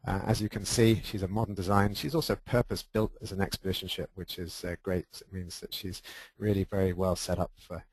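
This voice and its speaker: A man's voice: sits low, flat delivery, brisk, breathy edge, dry recording, low-quality recording. A man, an expedition leader, presenting casually with a stuffy nose and many hesitant uhs. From United Kingdom. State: stuffy nose from hay fever.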